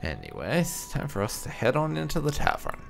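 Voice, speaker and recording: A man giving casual commentary on a game playthrough, halting as he thinks aloud. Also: fairly high pitch, wildly swooping pitch, creaky. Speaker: gaming video host. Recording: faint game music behind.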